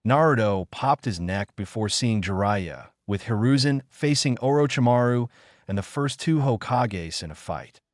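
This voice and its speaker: A man's voice: mid-range voice, expressive, normal speed, no room sound, studio quality. A man reading a scripted action story aloud as narrator. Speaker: narrator of fan fiction story videos.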